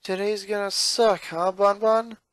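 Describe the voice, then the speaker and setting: A man's voice: sits high, flat delivery, a little slow, a bit bright, no echo, voice pushed up. A man voicing a put-upon young boy in a cartoon fan story, grumbling and reluctant. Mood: glum.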